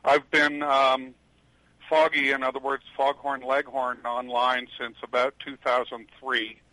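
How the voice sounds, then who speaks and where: An older man, a retired attorney, answering questions as a radio guest in a loud voice, rambling with many fillers. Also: mid-range voice, little pitch movement, unhurried, dry recording, low-quality recording. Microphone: headset.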